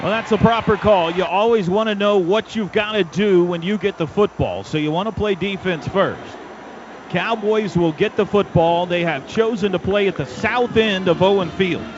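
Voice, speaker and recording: Male American play-by-play caller, high voice, dramatic punchy phrasing, heard through a muffled, noisy stadium radio broadcast. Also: normal speed. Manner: excitable, dramatic build-ups in short punchy phrases. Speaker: play-by-play announcer. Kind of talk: live American football radio commentary.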